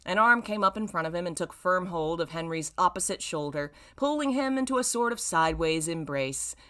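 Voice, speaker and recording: Low-voiced woman reading with wry asides. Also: animated, fairly quick, sharp tone. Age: 30s. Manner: self-mocking, wry, theatrical emphasis when reading. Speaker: streamer reading own old fan fiction.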